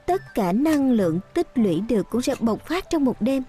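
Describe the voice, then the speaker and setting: Young period-drama heroine: high, lively, mellow voice, pensive yet playful, music underneath. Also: female voice, normal speed. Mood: musing. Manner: musing aloud, expressive. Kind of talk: Vietnamese dubbing of costume drama.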